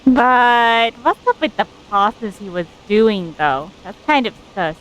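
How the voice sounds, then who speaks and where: A woman giving casual, informal live commentary as a game streamer, speaking haltingly. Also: mid-range voice, animated, fairly bright tone, slight background noise, rough recording.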